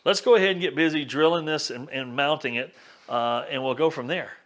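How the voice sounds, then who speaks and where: A man's voice: a little high, fairly quick, noisy background. A man casually talking viewers through his boat gear setup in an upbeat, chatty way. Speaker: vlogger. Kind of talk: casual how-to vlog. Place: backyard workshop and boat.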